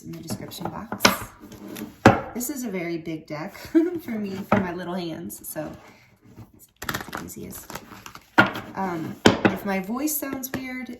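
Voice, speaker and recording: A woman with a slightly rough throat giving a casual tarot card reading, rambling and correcting herself with many fillers. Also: medium pitch, slow pace, very noisy. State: throat a little rough from fighting off a cold. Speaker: tarot reader.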